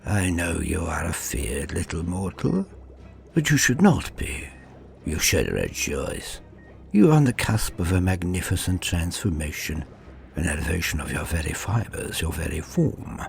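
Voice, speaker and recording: A man plays a hulking mad scientist from a horror storybook, with a very deep, creaky voice. He is pompous and condescending, savoring his menace in wide, swooping phrases. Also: sing-song, unhurried, soft background music, exaggerated voice. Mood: grandiose, menacing. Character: huge, bald, sinister mad scientist, condescending and grandiose. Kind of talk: dramatic horror storybook acting.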